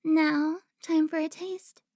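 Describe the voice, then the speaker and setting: Woman playing a high-voiced, taunting seductive vampire. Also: little pitch movement, heavy background noise. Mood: mock-refined, teasing. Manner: taunting, drawn-out, theatrical. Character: teasing, seductive female vampire informant, haughty and playful. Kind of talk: cinematic audio roleplay acting.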